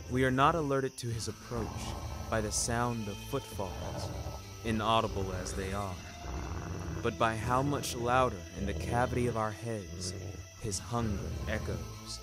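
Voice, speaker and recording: Slow, wry male storyteller over music. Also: lowish voice. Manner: wry, literary, deliberate phrasing. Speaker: narrator of a fiction podcast. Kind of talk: scripted dramatic narration. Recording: music bed behind narration.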